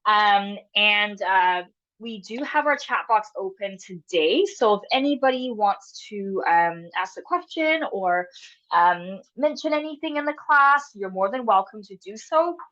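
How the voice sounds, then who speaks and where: A woman's voice: mid-range voice, expressive, a little slow, fairly bright tone, muffled recording. A woman with a cold, sniffling now and then, leading a live online exercise class in a friendly, encouraging, chatty way. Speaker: exercise instructor. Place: home room, video call.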